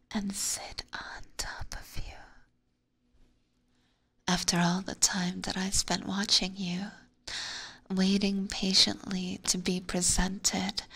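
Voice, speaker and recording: A woman's voice: mid-range voice, fairly bright tone, heavily acted. A woman playing an obsessive, possessive vampire catgirl in an ASMR roleplay, whispering closely and breathily with doting, menacing sweetness. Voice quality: breathy, mostly unvoiced whisper. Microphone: close binaural ear microphone.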